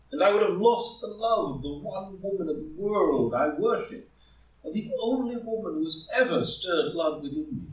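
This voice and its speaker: An echoey, distant recording of a man acting a proud, troubled gentleman in a stage drama. His formal speech is impassioned and fairly high, swinging widely in pitch. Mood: distressed. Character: anguished, proud, ambitious married gentleman politician. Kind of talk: live group play reading. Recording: roomy echo, far from the mic.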